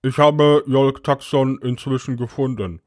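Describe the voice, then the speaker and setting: A man playing an alien agent who files a logbook report in a matter-of-fact, deadpan manner. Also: mid-range voice, measured pace, no room sound, clean studio sound, lightly acted. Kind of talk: scripted narration, audio logbook.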